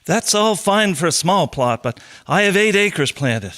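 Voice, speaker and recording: A man plays a practical farmer with doubts in a historical drama. His voice sits high and swings up and down. He challenges what he hears. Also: very animated, unhurried, a bit bright, full character voice. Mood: sceptical. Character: sceptical male farmer with eight acres planted.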